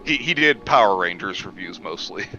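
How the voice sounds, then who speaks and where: A man's voice: deep voice, expressive, normal speed, sharp tone, soft background music. A man in a casual conversation, heard over dull, lo-fi audio. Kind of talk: casual chat.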